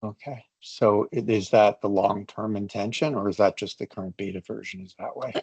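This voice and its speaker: A man's voice: on the low side, fairly even intonation, moderate pace, gravelly, a little mellow, husky, no echo, highs cut off. A man attending an online session, casually asking questions of the presenter.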